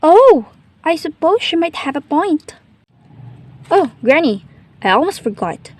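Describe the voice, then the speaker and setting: A woman's voice: high voice, very animated, unhurried. A woman playing an innocent, cheerful young girl in a storybook radio drama. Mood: breezy. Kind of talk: amateur radio drama acting.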